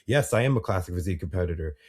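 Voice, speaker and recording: A man with a very low voice and a husky, breathy edge. He hosts a podcast in a loose, rambling way, stacking fillers and restarting his sentences. He grew up in the United States. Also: expressive, racing, darkish tone. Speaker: podcast host. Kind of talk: casual interview chat.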